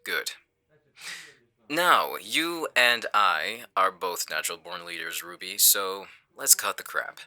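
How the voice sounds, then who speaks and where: A man playing a calculating, menacing villain in an audio roleplay, with a smug, condescending, deliberate delivery. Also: deep voice, expressive, bright, forceful tone, exaggerated voice. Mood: calm menace. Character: smooth-talking male villain, calculating, menacing leader. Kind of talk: audio roleplay acting on a phone call.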